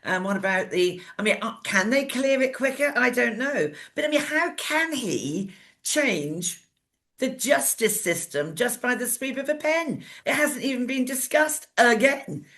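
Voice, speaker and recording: A woman's voice: a little high, expressive, a little slow, fairly bright tone. A woman co-hosting a talk show, chatting casually and giving opinions, often repeating words and posing questions as she works out her thoughts.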